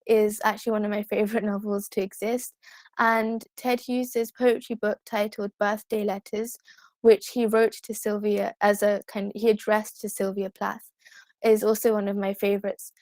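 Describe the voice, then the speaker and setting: A woman's voice: mid-range voice, little pitch movement, normal speed, darkish tone, dry recording. A teenage girl with a British accent, talking casually as an interview guest in an earnest, polite and articulate way. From United Kingdom. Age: teenager, fifteen. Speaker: guest poet.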